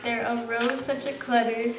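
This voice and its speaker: A woman's voice: on the high side, level tone, a little slow. A woman reciting holiday verse for a puppet show. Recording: heavy echo with clear music behind. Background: music.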